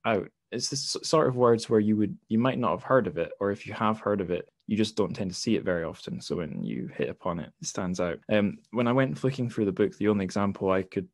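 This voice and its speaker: Low-voiced hesitant male podcast host. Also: fast talker, hardly any pauses, dry recording, studio quality. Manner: hesitant, many fillers and restarts. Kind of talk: casual interview chat. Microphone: home mic on a video call.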